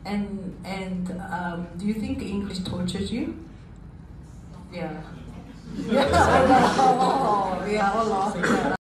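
A middle-aged woman lecturer, who speaks English as a foreign language, talking into a handheld mic in a university classroom. She speaks slowly and deliberately and is animated and laughs often. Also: mid-range voice, heavy background noise. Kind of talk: classroom lecture, lively interaction with students. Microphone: handheld microphone. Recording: roomy classroom audio from a far camera. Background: student chatter.

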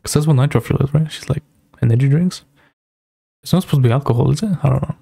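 A young man giving casual live reaction commentary as a streamer, with a husky, gravelly voice. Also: mid-range voice, fast, dark tone, dry recording. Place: indoor home streaming room.

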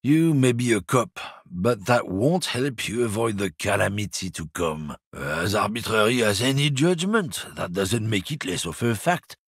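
A smug, bigoted lorry driver in a video game, gravelly and husky. His pitch swings up and down a lot as he confides and lectures. The studio recording is dry and close. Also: lively intonation, measured pace, hardly any pauses, no echo, full character voice. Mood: preachy. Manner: smug, conspiratorial, chuckling.

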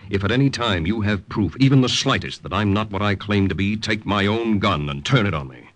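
An actor playing a proper, earnest young Allied spy, speaking and narrating in a measured, polite way in an old-time radio drama. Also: male voice, fairly low pitch, animated, fairly bright tone. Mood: sincere. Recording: vintage radio recording with faint music.

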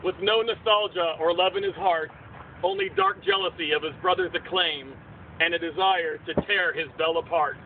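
A man's voice: fairly high pitch, moderate pace. A man narrating a puppet show in dramatic, theatrical storyteller verse. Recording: tinny outdoor recording, faint noise.

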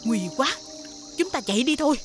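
An actress voicing an anxious, well-meaning woman in an animated fairy tale. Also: high voice, brisk, faint music behind. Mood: nervous.

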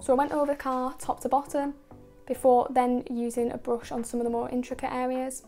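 A woman talks through a car wash step by step in a recorded voice-over, methodical and focused on the products. Her pitch sits a little high but stays very level and even from line to line. Faint music plays underneath. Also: brisk. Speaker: car detailing channel host.

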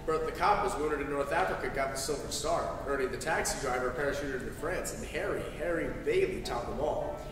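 A man playing a solemn heavenly narrator in a live radio play, telling the story in measured declarations. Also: medium pitch, background noise, close to an everyday voice. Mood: solemn storytelling. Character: solemn male heavenly narrator briefing an underling. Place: on stage in a school auditorium. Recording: echoey distant hall, music behind. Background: music.

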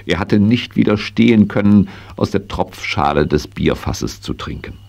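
An actor narrating a children's horse story as storyteller in a German audio drama. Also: male voice, on the low side, animated, moderate pace, vocal fry, a little mellow. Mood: hushed, amused.

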